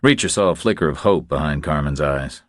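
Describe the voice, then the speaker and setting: Very deep-voiced male audiobook narrator. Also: a little fast, no room sound, studio quality. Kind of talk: scripted thriller narration.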